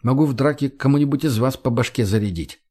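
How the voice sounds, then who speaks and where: A man playing a self-assured, curt gang leader in an audiobook, speaking in a dry, calm, crude way. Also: mid-range voice, fast talker, close to an everyday voice. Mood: resigned, firm. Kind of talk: audiobook character voice.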